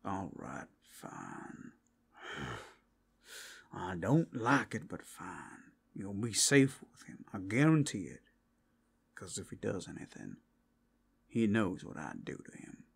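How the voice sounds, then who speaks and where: A man's voice: sits low, wildly swooping pitch, slow pace, creaky, pauses often, breathy edge, pitched below the performer's own voice, exaggerated voice. A man playing a wary, protective werewolf in an audio roleplay, muttering tersely and grudgingly. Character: grumbling protective male werewolf, wary and reluctant.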